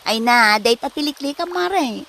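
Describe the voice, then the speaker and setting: A woman's voice: a little high, animated, normal speed, gravelly, very noisy. A woman with an Ilocano accent playing a teasing, chatty neighbour friend in a comedy drama. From Philippines.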